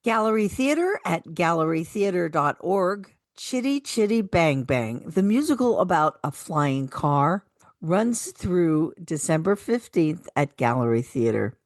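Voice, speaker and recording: A woman with a fairly low voice reads scripted calendar listings as co-host of a radio show. The sound is very dry, with no room echo. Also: sing-song, a little slow. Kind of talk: scripted community calendar announcements.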